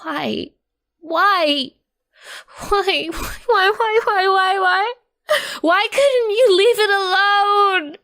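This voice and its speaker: A woman acts out a young storybook mare in distress, her voice pushed high. She pleads insistently and repetitively, on the edge of hysterics. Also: very slow, sharp tone, exaggerated voice. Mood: hysterical, tearful.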